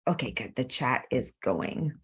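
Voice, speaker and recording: A woman's voice: a bass voice, animated, measured pace, husky. A woman hosting a live webinar over a video call, chatty and upbeat, with frequent fillers and restarts. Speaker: webinar host.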